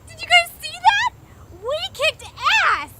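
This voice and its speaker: A woman playing an excitable, cocky young girl fighter in a zombie apocalypse fan audio drama, exclaiming gleefully. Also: very high voice, measured pace, very noisy, heavily acted. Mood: gleeful, amazed.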